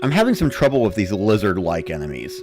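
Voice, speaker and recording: A man's voice: lowish voice, huge pitch swings, fast talker, music in the background. A man reading a first-person story as a dramatic narrator, in the style of a gamer recounting play.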